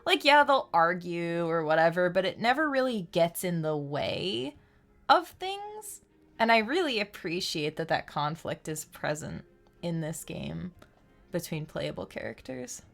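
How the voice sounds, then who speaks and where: Lively, lower-voiced woman streaming. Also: unhurried, creaky. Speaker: game streamer. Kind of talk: casual live-stream chat and game commentary. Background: game music.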